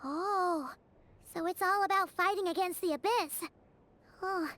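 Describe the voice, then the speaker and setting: Tiny squeaky fairy sidekick, super high and bouncy, chatty game character, bit of noise behind. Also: female voice, measured pace. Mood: sympathetic, friendly. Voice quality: squeaky, childlike. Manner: refers to herself in the third person, expressive. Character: small excitable childlike fairy companion, chatty and easily spooked. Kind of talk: video game character dialogue.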